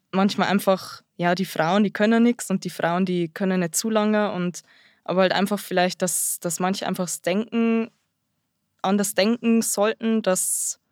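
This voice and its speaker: A woman's voice: medium pitch, darkish tone, no echo, studio quality. A nineteen-year-old woman answering interview questions about her trade in a casual, hesitant way, with frequent fillers and restarts. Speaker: guest, apprentice-trained carpenter.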